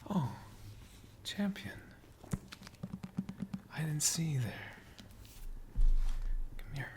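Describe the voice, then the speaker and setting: A man's voice: a little high, animated, noisy background. A man voicing a friendly, humble, bookish dragon in a whispered ASMR storytelling roleplay. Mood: hushed, friendly. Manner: soft whispering, slow and unhurried.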